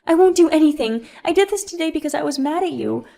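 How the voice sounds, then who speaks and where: A woman doing a spoiled entitled brat, high whiny voice, indignant and loud. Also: expressive, fast, vocal fry. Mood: pleading. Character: spoiled, shrill entitled grown woman, bratty.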